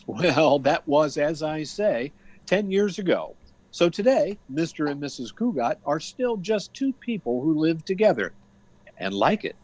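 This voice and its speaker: Animated, higher-pitched male radio announcer. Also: measured pace. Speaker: announcer and narrator. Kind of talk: scripted radio comedy narration.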